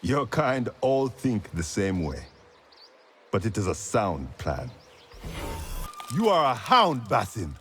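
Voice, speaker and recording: An actor playing a gruff, commanding, mocking rebel fighter in a video game cutscene. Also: male voice, on the low side, very animated, takes it slowly, pauses often, fairly bright tone, soft background music, heavily acted. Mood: gruff approval.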